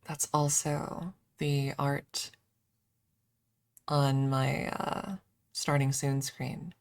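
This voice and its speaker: A woman streamer chatting casually in a soft, hesitant ASMR style with playful self-deprecation, close to the microphone. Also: rumbling low, flat delivery, very slow, dry recording. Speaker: ASMR livestreamer. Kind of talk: ASMR, casual rambling chat.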